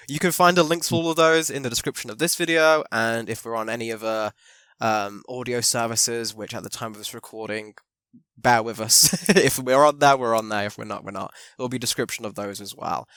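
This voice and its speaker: A man's voice: medium pitch, fairly bright tone, clean tone, dry recording. A man hosting a podcast interview in a chatty way, laughing often and using lots of fillers. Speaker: podcast host. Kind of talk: casual interview chat.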